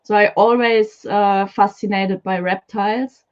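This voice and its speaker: A woman's voice: medium pitch, fairly even intonation, measured pace, muffled recording. A woman from Switzerland with a Swiss German accent, a guest talking about keeping reptiles, hesitant with many fillers. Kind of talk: live stream interview. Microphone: video call.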